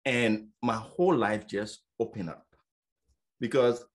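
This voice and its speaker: A man's voice: fairly low pitch, takes it slowly. A man who works as a business coach, speaking on a casual panel. He stutters lightly, with repeated sounds and many "you know" fillers.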